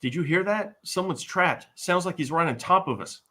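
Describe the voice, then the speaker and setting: A man's voice: high voice, expressive, normal speed, bright, forceful tone, exaggerated voice. A man playing a bumbling, boastful, eager sidekick with blustering false bravado at a live fantasy table read. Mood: excited, alarmed.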